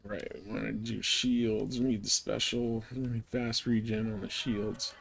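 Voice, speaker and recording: Slow, gravelly man muttering over a game. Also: lowish voice, little pitch movement, fairly bright tone. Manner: muttering, thinking aloud. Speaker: game streamer. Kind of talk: casual solo gameplay chat. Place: indoors, live game stream. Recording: faint background noise.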